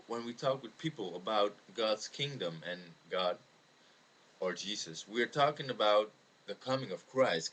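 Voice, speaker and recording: A man with a Dutch accent explains his views in a halting, earnest way, speaking unscripted into a webcam. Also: on the low side, bright, forceful tone. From the Netherlands. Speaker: amateur video maker answering a viewer's question. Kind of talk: unscripted spoken video response on religion. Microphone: webcam microphone. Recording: noisy home webcam recording.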